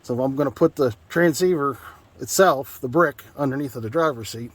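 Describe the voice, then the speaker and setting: A man's voice: mid-range voice, brisk. An American man giving a casual, conversational vlog walkthrough in a folksy, plain-spoken way. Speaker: vlogger, radio hobbyist.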